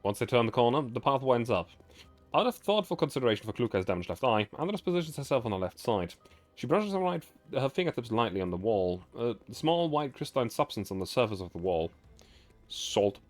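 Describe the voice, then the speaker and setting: A man livestreaming as host, reading game story aloud with casual asides and stumbling now and then, his voice slightly raspy. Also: medium pitch, big pitch swings, faint music behind. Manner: halting, often stumbles and corrects words while reading.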